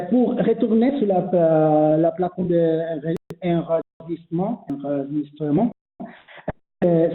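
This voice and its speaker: A man who is not a native French speaker, presenting a webinar and explaining online registration, hesitant with many fillers and restarts. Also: a little high. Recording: echoey phone-like webinar audio.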